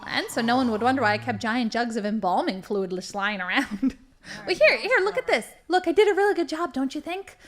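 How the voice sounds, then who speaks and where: A woman's voice: fairly high pitch, lively intonation, brisk, natural voice. A woman acting as a boastful, theatrical villain, a cheerfully murderous crime boss in a comedy audio drama. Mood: gleeful. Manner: gleeful, boastful, dramatic monologuing.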